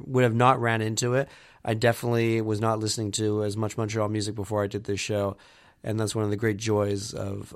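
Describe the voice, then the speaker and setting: A man's voice: lowish voice, vocal fry. A man hosting a community radio music show, talking with a head cold that makes him sound nasal and rambling with many fillers. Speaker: radio host.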